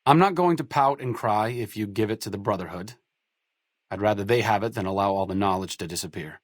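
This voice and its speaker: An actor playing an idealistic, bookish wasteland doctor in a video game, articulate and wry in scripted dialogue. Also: male voice, lowish voice, a little fast, no room sound, studio quality, lightly acted. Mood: earnest, wry. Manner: articulate, wry, erudite wording.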